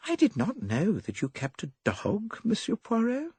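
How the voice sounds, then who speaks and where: A man's voice: high voice, wildly swooping pitch, moderate pace, warm tone, breathy edge, no room sound, pitched above the performer's own voice, full character voice. A man voicing an anxious, indignant young woman in an audiobook. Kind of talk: audiobook character reading.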